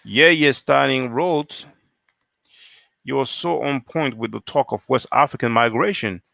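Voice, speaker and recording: A man's voice: mid-range voice, measured pace, creaky, bright, forceful tone, no room sound. Guy from france doing a solo live stream, answers chat, lots of rhetorical questions, dry sound.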